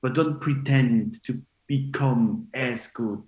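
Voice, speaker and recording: A man of about forty, raised in Switzerland, telling stories in a rambling, animated way full of fillers as a guest on a podcast. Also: medium pitch, slow pace. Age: 40s. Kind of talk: casual interview chat. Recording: roomy echo.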